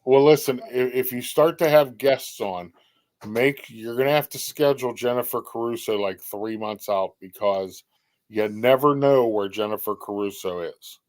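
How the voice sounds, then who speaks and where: A man's voice: medium pitch, normal speed, darkish tone. A man hosting a live stream, chatty, welcoming viewers by name and sometimes tripping over his words. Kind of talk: casual live-stream chat.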